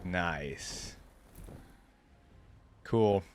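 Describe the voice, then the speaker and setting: A man's voice: low voice, very slow, background noise. A man giving casual reaction commentary as the host of a video.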